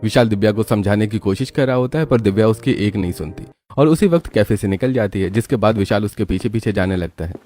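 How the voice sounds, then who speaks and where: A man's voice: on the low side, quick pace, hardly any pauses, warm tone, faint music behind. Man narrating a scripted moral story.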